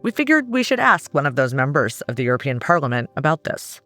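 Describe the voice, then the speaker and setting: Lively bright male reporter. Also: mid-range voice, fast, soft background music. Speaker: reporter and host. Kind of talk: narration and interview.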